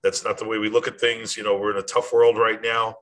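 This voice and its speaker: Older man, lowish pitch, bright forceful tone, plainspoken, informal instructional host, direct address. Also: little pitch movement, fast talker. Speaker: host of a short athletic administration advice series. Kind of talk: informal talk to camera, instructional.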